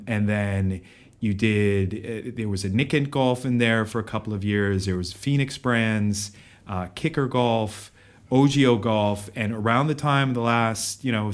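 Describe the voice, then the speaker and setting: Man, podcast co-host, lowish voice, rambling questions with ums, office setting. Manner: brisk read intro, then rambling questions full of ums. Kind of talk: scripted intro, then interview questions. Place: office in a shared workspace.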